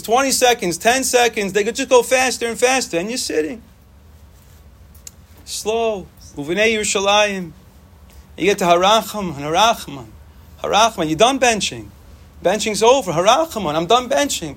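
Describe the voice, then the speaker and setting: A young man whose first language is Yiddish, giving an informal, animated Torah talk to students and repeating phrases for emphasis. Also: high-pitched. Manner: animated, repetitive, sprinkles Hebrew and Yiddish terms.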